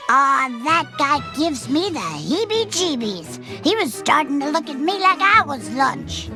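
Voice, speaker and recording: Casting: a young cartoon duckling, very high and lively, plucky with a nervous streak. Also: unhurried, over music, exaggerated voice. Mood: uneasy. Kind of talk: animated cartoon game acting.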